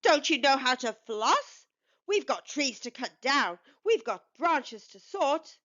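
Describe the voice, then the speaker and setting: An exasperated beaver boss from a children's storybook, acted out by a woman. He is high-voiced and animated, scolding with heavy emphasis. The sound is a little dull. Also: takes it slowly, full character voice. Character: bossy, exasperated male beaver foreman.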